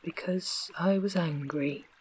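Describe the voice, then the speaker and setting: Storybook gnome king, fat and blustering, read by the narrator; soft whisper, very slow. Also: medium pitch, a little hiss or hum, lightly acted, poor audio. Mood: pompous.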